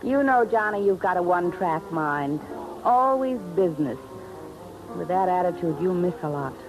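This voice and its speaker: An actress playing a worldly, flirtatious femme fatale in a 1950s radio drama, coy and teasing. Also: female voice, fairly low pitch, expressive, normal speed, lightly acted, poor audio. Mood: coy, flirting. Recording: vintage radio drama with music.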